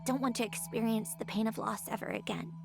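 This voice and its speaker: A woman's voice: fairly high pitch, fairly even intonation, a little fast. A woman playing a grieving, bitter young woman in a video game, wistful and self-mocking, with dramatic delivery. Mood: bitter, resigned. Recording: game dialogue under clear music.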